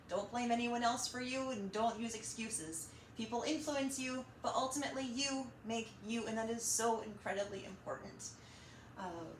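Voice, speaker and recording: A woman hosting a children's storytime, reading and chatting in an animated, encouraging way straight to young listeners. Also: on the high side. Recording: roomy echo, slight background noise.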